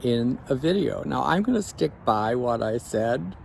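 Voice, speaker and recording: A man, a life coach, speaking slowly and reflectively in an evening vlog recorded outdoors on a phone. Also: mid-range voice, lively intonation, gravelly, a little hiss or hum. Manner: slow, measured, reflective.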